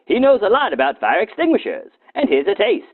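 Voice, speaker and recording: A man presents with a high, wildly animated delivery and a mock-serious comic edge. His voice has some rasp, and the recording is dry with a thin, phone-like band. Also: fairly quick, no room sound. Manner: tongue-in-cheek, mock-serious. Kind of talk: comedic scripted narration for a safety film. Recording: muffled, phone-like narrow band.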